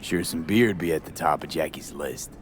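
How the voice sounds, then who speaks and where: A young male mercenary in a cinematic game, with a very low voice. He is guarded and earnest in his grief, talking in short, clipped phrases over faint ambience. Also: normal speed, a little hiss or hum. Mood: subdued, sombre. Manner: low-key, clipped sentences dropping first words. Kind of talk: cinematic video game acting.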